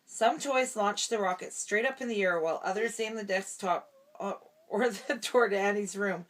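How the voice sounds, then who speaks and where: A woman's voice: mid-range voice, moderate pace. A woman reading a storybook aloud to a small child, with casual asides between the lines. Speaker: parent reading a storybook to a toddler.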